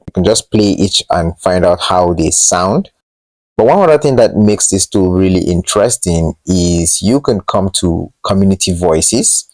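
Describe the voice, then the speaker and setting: Male tutorial host, low register, informal and slightly hesitant delivery, dry room-free sound. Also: darkish tone, dry recording, poor audio. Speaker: host of a tech tutorial channel. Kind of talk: casual screen-share tutorial narration.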